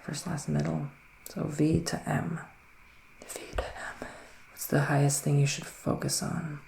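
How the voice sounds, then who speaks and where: A man speaking in a hushed, breathy ASMR style that often slips into a whisper, soothing and reassuring as he plays a psychic witch giving a reading. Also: a little high, little pitch movement, measured pace. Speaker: ASMR performer playing a psychic reader. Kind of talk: ASMR roleplay, improvised tarot reading. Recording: roomy echo, faint background noise.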